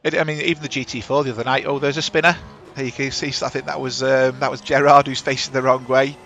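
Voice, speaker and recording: Chatty man, gravelly bright voice. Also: mid-range voice, little pitch movement, quick pace. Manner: chatty, rambling, self-correcting. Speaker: sim racing broadcast host. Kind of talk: live race commentary. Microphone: headset.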